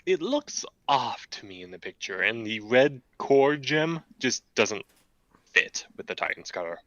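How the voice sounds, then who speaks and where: A man chatting casually while building a model kit, relaxed and joking, thinking aloud with fillers. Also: mid-range voice, unhurried, no echo. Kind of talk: casual chat.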